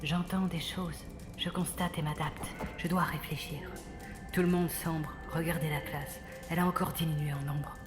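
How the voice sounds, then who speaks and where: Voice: mid-range voice, steady tone, moderate pace, lightly acted. A performer voicing a frail young pegasus, nervous yet defiant, in a French audio fantasy drama. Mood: pensive. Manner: nervous stammer turning indignant. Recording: roomy echo under loud music.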